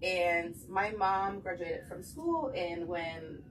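A woman from Spain, raised in Venezuela and Colombia, chatting as an interview guest in a small office studio, soft-spoken and trailing off at the ends of phrases. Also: fairly low pitch, lively intonation, slow. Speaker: graphic designer and artist guest. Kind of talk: casual interview chat. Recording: distant mic with background noise.

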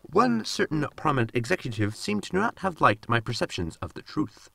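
A man's voice: mid-range voice, big pitch swings, moderate pace, vocal fry, pushed and bright, husky, no echo, full character voice. A man playing a blunt, literal android servant in a sci-fi comedy audio drama, formal and matter-of-fact. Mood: matter-of-fact explaining.

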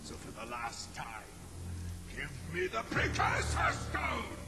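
Gravelly, menacing monster overlord. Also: male voice, high-pitched, wildly swooping pitch, over loud music, full character voice. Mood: raging. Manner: theatrical, drawn-out, sinister. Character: menacing male monster leader, grandiose, scheming villain. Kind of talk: video game cutscene acting.

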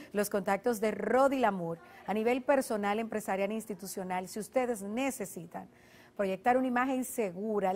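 A young woman hosting a television interview from a studio, asking questions in a lively manner. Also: medium pitch. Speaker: TV show host.

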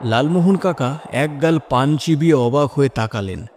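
A man's voice: medium pitch, normal speed, a little mellow, a little hiss or hum. A man narrating a scripted first-person horror story in a dramatic, suspenseful manner.

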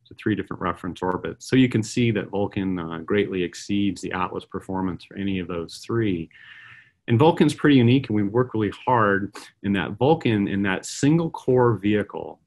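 A middle-aged man giving a conference keynote as an aerospace executive, speaking in a measured way with frequent uh and um pauses. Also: low-pitched.

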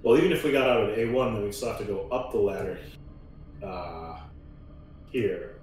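A man's voice: on the low side, animated, normal speed, a little mellow, recorded from a distance, slight background noise. A man chatting casually as a player in a tabletop game, recorded in a very echoey space away from the mic. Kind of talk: casual chat.

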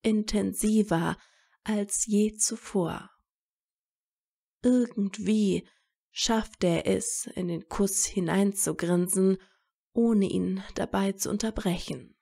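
Casting: female story narrator, unhurried pace, soft dark tone, medium pitch. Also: gravelly, slightly rough.

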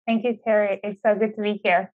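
A woman's voice: mid-range voice, little pitch movement, fairly quick. Chatty woman on a tinny video call. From Ecuador. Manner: chatty, many fillers, laughs easily. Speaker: city sustainability official, podcast guest. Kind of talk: casual interview answers.

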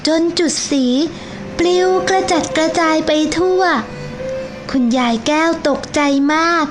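Teacher lady reading a kids' story, high voice, takes it slow, soft music under. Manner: slow, gentle and clearly paced for children. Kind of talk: children's storybook narration.

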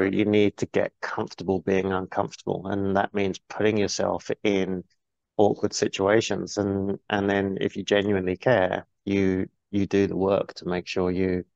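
A man's voice: fairly low pitch, creaky, a little mellow, no room sound. A man, a guest speaking candidly in a casual interview over a video call, rambling a little with restarts. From born in New Zealand, grew up in the UK. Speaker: guest, business board coach. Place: small bright room, indoors. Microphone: earphone mic on a video call. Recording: dull dry video call audio.

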